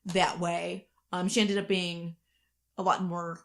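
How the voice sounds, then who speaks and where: A woman's voice: on the low side, little pitch movement, slow, creaky, fairly bright tone. A woman chatting as a podcast co-host, actor and writer, reflective and explanatory in manner. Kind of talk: behind-the-scenes podcast chat.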